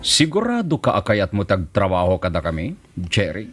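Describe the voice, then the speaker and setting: A man playing a self-assured gang boss in a radio drama, measured and questioning. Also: on the low side, wildly swooping pitch. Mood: probing. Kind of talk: Ilocano radio drama acting. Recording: music playing under the voice. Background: music.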